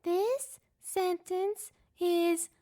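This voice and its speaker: A woman voicing a hyperactive, silly little male robot who yells and shrieks gleefully. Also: drawn-out words, full character voice. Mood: goofy. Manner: shrill, gleeful yelling.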